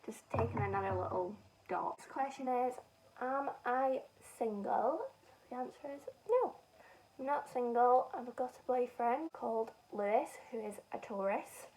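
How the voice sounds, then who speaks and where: Young Scottish woman, beauty vlogger, rambling and self-mocking, slightly high voice. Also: a little mellow, distant mic, slight background noise, poor audio. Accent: Scottish softened by northern English. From Scotland. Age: young adult. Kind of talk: casual chat while doing makeup.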